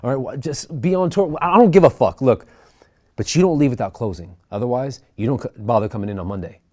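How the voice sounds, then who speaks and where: A crude, domineering boss realtor read by a man at a table reading hurls insults and orders at mid pitch. He barks and lectures with a bullying edge. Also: lively intonation, brisk, a little mellow, natural voice. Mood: lecturing. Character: abrasive foul-mouthed male boss realtor. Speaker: actor in a script table read.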